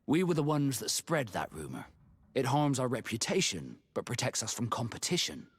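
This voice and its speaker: An actor playing a proud, loyal sea captain with a romantic, guarded streak in a video game, speaking in a husky, measured, formal way. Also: male voice, medium pitch, big pitch swings, no room sound. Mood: earnest, guarded.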